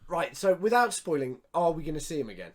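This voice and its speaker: A man's voice: a little high, very animated, fast talker. A British man co-hosting a podcast with cheeky, sweary banter. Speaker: podcast co-host. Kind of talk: casual banter.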